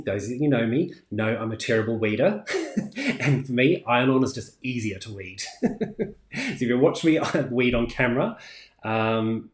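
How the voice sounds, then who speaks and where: A man in his forties with a New Zealand accent, hosting a casual craft livestream and chatting through viewer comments with plenty of ums. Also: fairly low pitch. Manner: chatty, many ums, laughs easily. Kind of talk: casual live chat reading comments. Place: home craft room, indoors. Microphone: fixed camera at desk distance. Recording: echoey room.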